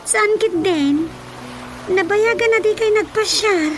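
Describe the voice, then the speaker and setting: A woman's voice: very high voice, takes it slowly, soft background music. A woman with an Ilocano accent playing a generous grown daughter in a radio drama, coaxing and persuasive.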